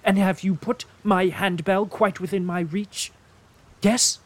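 A man voicing a fussy, peevish, languid invalid gentleman who talks in an affected, whining way. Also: sits high, steady tone, unhurried, pitched above the performer's own voice.

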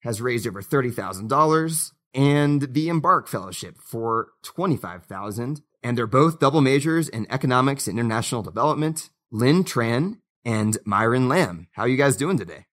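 A man's voice: medium pitch, lively intonation, moderate pace, hardly any pauses, fairly bright tone. A man hosting an interview podcast, asking friendly, enthusiastic questions with plenty of fillers. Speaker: podcast host.

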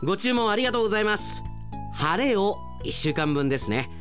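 A man acts out an eager, conscientious male company worker in a radio play. He speaks in a very lively, swinging pitch, earnestly exclaiming to himself as he works. Music plays clearly behind him. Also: a little fast. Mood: polite, businesslike. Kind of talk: radio drama acting.

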